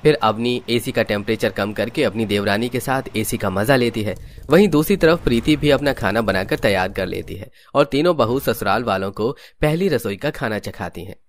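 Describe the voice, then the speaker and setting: A man's voice: lowish voice, moderate pace, a little hiss or hum. A man reading scripted narration for a story.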